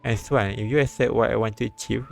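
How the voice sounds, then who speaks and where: A man reading a visual novel aloud on a live stream, with halting restarts and bits of commentary. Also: mid-range voice, fairly even intonation, creaky. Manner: halting, repeats and restarts lines. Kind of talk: live read-aloud translation and narration with commentary. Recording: background music behind the voice.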